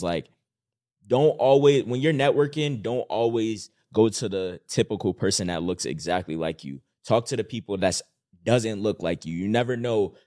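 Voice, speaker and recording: Low-voiced man giving earnest advice. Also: darkish tone, dry recording, clean studio sound. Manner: earnest, encouraging, filler-heavy with "like". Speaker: podcast co-host. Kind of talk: casual podcast chat.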